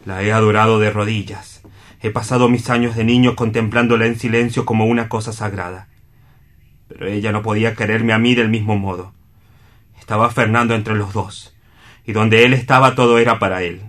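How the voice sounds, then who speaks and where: A man's voice: lowish voice, fairly even intonation, a little fast, a bit bright, natural voice. A man acting in a radio play as a bitter, anguished brother, speaking with impassioned reproach. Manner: impassioned, reproachful, self-pitying.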